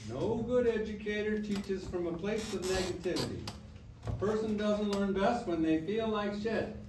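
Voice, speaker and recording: A man's voice: sits high, normal speed, noisy background, close to an everyday voice. A man playing a blunt, wry and protective grandfather in a staged reading of a musical. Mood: frank, serious. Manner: blunt, scolding, folksy. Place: echoey room, staged reading. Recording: distant echoey stage mic, piano behind.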